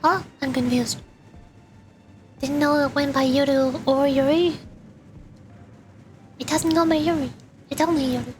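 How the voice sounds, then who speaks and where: A woman's voice: high-pitched, fairly even intonation, unhurried, long pauses, faint music behind. A woman livestreamer chatting with viewers as she plays a game, explaining things and repeating herself for emphasis. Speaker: VTuber streamer. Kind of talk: livestream chat while playing a game.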